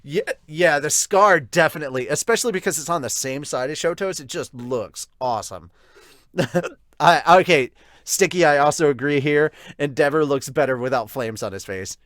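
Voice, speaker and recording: An excitable, giggly, sweary man with hiccups, hosting a casual manga review and reading out viewer comments. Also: fairly high pitch, normal speed, dry recording, studio quality. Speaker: host of a manga review show.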